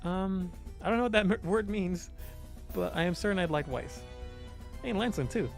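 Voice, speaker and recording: A man's voice: sits high, moderate pace, vocal fry, faint music behind, pitched above the performer's own voice. Man voicing an earnest, brave young queen. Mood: warm, determined. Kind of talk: visual novel character reading.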